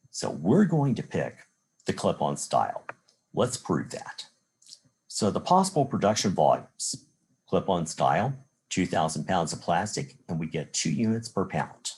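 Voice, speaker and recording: An American man lectures as a college instructor in a low, husky voice. His pitch moves up and down in a lively way as he explains. He works through examples methodically, one step at a time, restating points as he goes. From Chicago area, United States. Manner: methodical, step-by-step explaining with rhetorical "well" and "again".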